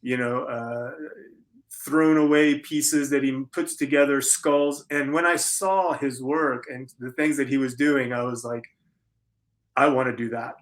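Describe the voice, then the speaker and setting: A man's voice: medium pitch, fairly even intonation. Middle-aged American male interview guest, mid-range voice, conversational and considered, often circling back to reword. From United States, Florida. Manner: halting, thoughtful, repeats and rephrases. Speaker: interview guest, conceptual artist.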